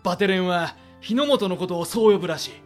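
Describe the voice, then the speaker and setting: A man's voice: sits high, fast, soft background music, close to an everyday voice. A man playing a commanding, blunt warlord in a Japanese period audio drama, with imperious, archaic lordly speech. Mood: brisk, proud.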